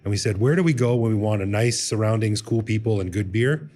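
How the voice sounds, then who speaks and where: A man's voice: lowish voice, quick pace, a little mellow. A man in his forties, an upbeat and chatty podcast host who introduces guests and runs the interview. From Canada. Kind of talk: scripted intro and casual interview chat.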